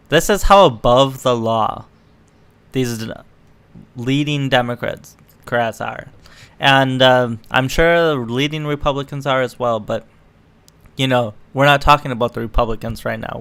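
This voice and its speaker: Man, online commentator, slow delivery, clean resonant voice, casual, opinionated, mocking, profane. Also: medium pitch, vocal fry, fairly bright tone, smooth. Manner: sweary, mocking.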